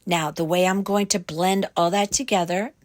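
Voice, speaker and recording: A woman hosting a craft tutorial, her voice raspy and croaky from a cold, explaining each step slowly with fillers and self-corrections. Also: fairly low pitch, fairly even intonation, no room sound. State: hoarse from a cold.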